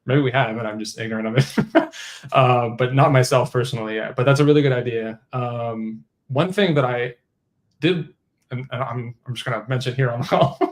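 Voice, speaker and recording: A man speaking as an engineer and guest in a webinar, answering in an informal, explanatory way as if thinking aloud. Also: medium pitch. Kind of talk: webinar interview answers, conversational tech talk.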